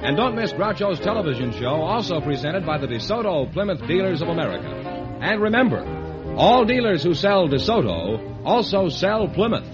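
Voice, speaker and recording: A man announcing on a 1950s radio quiz show in a crisp, formal manner. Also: high-pitched, very animated, moderate pace, music in the background. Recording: dull old radio broadcast.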